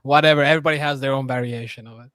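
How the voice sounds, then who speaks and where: A man in his thirties, from Turkey and living in Finland, a journalist guest chatting offhandedly with quick restarts. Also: mid-range voice, fairly even intonation, a bit bright, clear, resonant tone, no echo, clean studio sound. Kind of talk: casual interview chat.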